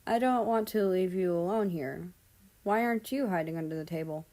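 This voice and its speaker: A woman's voice: on the low side, normal speed, dark tone, lightly acted. Woman voicing an anxious, flustered young guy. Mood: gentle, curious. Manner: flustered, stammering when embarrassed. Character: anxious, caring, easily flustered young male friend.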